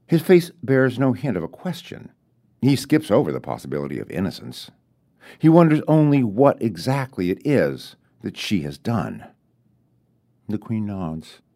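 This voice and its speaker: Low, expressive male storyteller with a mellow tone. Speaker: author reading his own short story. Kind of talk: literary narration of a fairy tale, read aloud.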